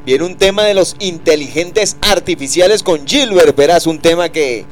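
A man's voice: a little high, moderate pace. A man hosting a casual radio talk show, chatty and playfully joking. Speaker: radio host.

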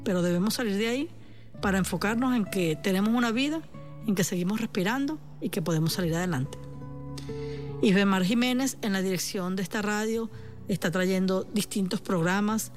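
A woman with a Venezuelan accent speaking on the radio as a therapist, calm and reassuring, addressing the listener directly. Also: mid-range voice, fairly quick, soft background music. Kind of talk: supportive radio talk.

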